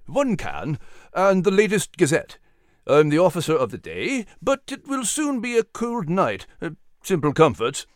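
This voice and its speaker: A man's voice: a little high, huge pitch swings, measured pace, dry recording, clean studio sound. A man voicing a stern, irritable British army captain with a formal, clipped manner in a historical storybook. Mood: curt, formal.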